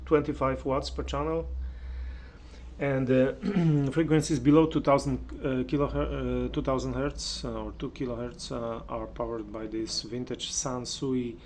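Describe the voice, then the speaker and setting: A man's voice: medium pitch, flat delivery, measured pace, darkish tone, slight background noise. A man gives an unscripted spoken review of audio equipment, speaking hesitantly and often searching for his words. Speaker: audio reviewer giving listening impressions.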